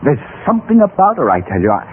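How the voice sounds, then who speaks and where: An actor playing an anguished, weak-willed married man in an old-time radio melodrama, halting and agitated in his speech. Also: a little high, huge pitch swings, phone-quality sound. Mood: uneasy, insistent. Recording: thin, hissy old radio recording.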